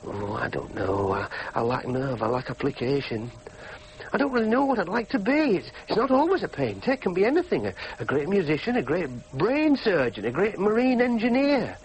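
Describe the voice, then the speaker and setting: An actor playing a sullen, self-doubting young working-class son with a Yorkshire accent, brooding and argumentative in a naturalistic drama. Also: male voice, high voice, sing-song, a little fast, hardly any pauses, rough recording. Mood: brooding, wistful. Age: young adult. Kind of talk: naturalistic drama acting with monologue. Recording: faint background noise.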